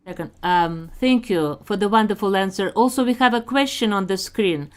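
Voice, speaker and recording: A woman from Russia with a Russian accent, hosting an online community interview in a measured, encouraging way. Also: mid-range voice, smooth. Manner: measured, encouraging, unhurried with fillers. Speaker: host.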